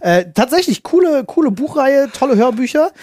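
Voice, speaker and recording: A man's voice: very high voice, very animated, moderate pace, darkish tone, no room sound, clean studio sound. A man co-hosting a podcast, chatting and telling anecdotes in a colloquial, jokey way. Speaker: podcast host. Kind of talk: casual anecdote and chat.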